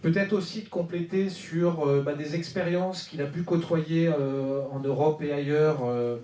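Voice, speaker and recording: A man's voice: a little high, steady tone, a little slow, dark tone, slight background noise. Hesitant man in echoey hall. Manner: hesitant, many fillers and restarts. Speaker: organizer and moderator. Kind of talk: introducing a speaker. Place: conference room. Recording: roomy hall, far from mic.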